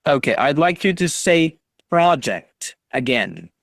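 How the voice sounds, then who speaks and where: A man's voice: fairly high pitch, sing-song, slow pace, vocal fry, fairly bright tone, dry recording. A man teaching an online English class over a video call, patiently drilling students on pronunciation and encouraging them.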